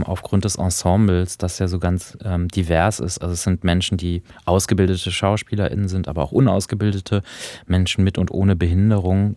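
A man with a low voice talks as a radio co-host and critic, recorded close and dry. He explains and reflects in intellectual phrasing, in an analytical but conversational style. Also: warm tone, no room sound.

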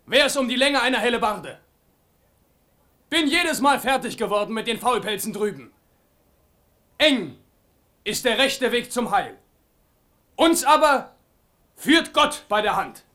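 A man's voice: very high voice, animated, measured pace, long pauses, pushed and bright, exaggerated voice. A man playing a fervent military leader rallying his soldiers in a German radio play.

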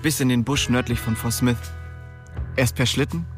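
A man's voice: medium pitch, steady tone, moderate pace, natural voice. An actor playing a tough, restless young man who tells his own story bluntly and intensely in a radio drama. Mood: grim. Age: young adult. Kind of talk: first-person radio drama narration. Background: music.

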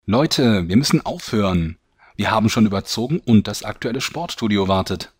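A man's voice: on the low side, lively intonation, moderate pace, husky, no room sound, studio quality. A man playing the wry narrator of a fantasy parody audio drama, presenting it like a showman.

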